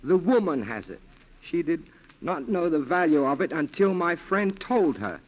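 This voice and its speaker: An actor in a 1940s radio drama playing a polite, calculating clerk and go-between who speaks formally and precisely. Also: male voice, fairly high pitch, very animated, moderate pace. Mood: deferential, sly. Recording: muffled 1940s radio broadcast recording.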